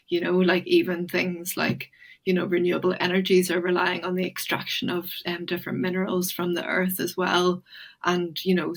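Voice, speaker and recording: A woman speaking as an interview guest, reflective and thoughtful, pausing often with fillers and restarts. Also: fairly low pitch.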